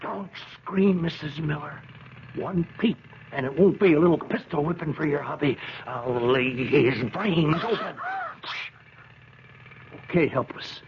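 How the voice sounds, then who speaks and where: A man's voice: on the high side, a little slow. A man playing a menacing, slangy American career criminal with a gun in a 1970s radio drama, stammering when rattled. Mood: cold threat. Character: jittery, menacing male gunman, a street-tough career criminal. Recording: muffled, hissy 1970s radio recording.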